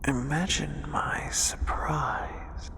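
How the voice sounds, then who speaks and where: Gentle, curious dragon played by an ASMR performer for fantasy roleplay: hushed whisper, extremely unhurried delivery, soothing and a little hesitant. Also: mid-range voice, exaggerated voice. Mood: curious, musing. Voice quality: breathy whisper. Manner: slow, hesitant, softly reassuring. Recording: long cavernous reverb.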